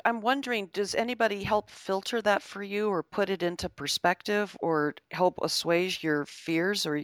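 A woman's voice: medium pitch, fairly even intonation, moderate pace, no echo, studio quality. A woman interviewer asking probing questions and adding short reflections in a conversational style.

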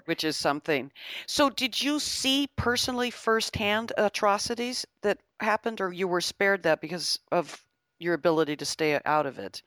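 A woman's voice: mid-range voice, expressive, moderate pace, a bit bright, no echo, studio quality. A woman interviewer asking probing questions and adding short reflections in a conversational style.

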